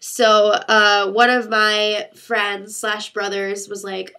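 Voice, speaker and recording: Young woman vlogger with a congested cold, crisp bright voice, chatty and rambling. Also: mid-range voice, little pitch movement, slow pace. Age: young adult. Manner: chatty, rambling storytelling with many fillers. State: congested, sick voice. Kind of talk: casual chat to camera.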